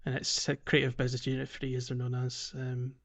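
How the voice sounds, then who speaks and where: Halting man in casual games discussion. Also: medium pitch, monotone, fairly bright tone. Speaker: podcast co-host. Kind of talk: casual video game podcast discussion.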